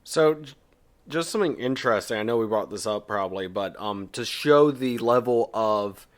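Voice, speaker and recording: Laid-back lower-voiced man. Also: lively intonation, moderate pace. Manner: laid-back, trailing off with "and everything". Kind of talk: casual chat.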